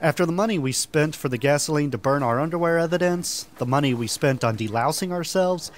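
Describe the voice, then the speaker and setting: A man's voice: fairly high pitch, brisk, lightly acted. A man playing an earnest, agreeable sidekick in a gang of schemers, speaking precisely in a comedic radio drama. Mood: matter-of-fact.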